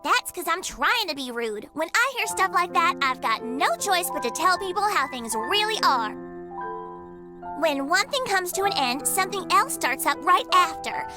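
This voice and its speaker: A woman's voice: extremely high pitch, sing-song, normal speed. A woman voicing a brash, hot-tempered young forest guardian girl in a video game, talking bluntly and bossily over music. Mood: indignant. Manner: blunt, bossy, scrappy tomboy talk. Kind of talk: English video game dub acting.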